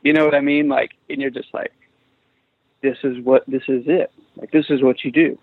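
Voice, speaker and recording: A man's voice: mid-range voice, level tone, normal speed, dry recording. A man in his forties, a guest musician talking casually in an interview, rambling with many restarts and fillers. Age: 40s. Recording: tinny phone-like remote line.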